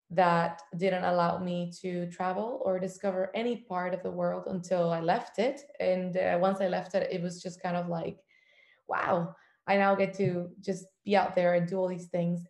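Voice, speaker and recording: A woman from Cuba speaks as a podcast guest, telling her story with eager energy and frequent "um"s. Her voice sits fairly low. The room around her sounds echoey and open. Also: little pitch movement, a little slow. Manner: warm, enthusiastic storytelling with many "um" fillers. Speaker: podcast guest, travel journalist. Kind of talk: casual interview talk.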